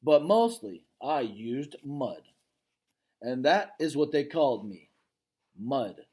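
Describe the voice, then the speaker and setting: Storybook silverback gorilla, read by a man: adult male, gentle, weary, reluctant, reflective, expressive pitch movement. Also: slow.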